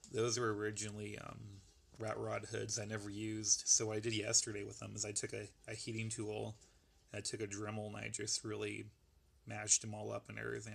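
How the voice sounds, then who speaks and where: A man with a gravelly voice that is going, casually rambling through a show-and-tell of a model build. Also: lowish voice, slight background noise. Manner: rambling, laid-back, lots of fillers. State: losing his voice.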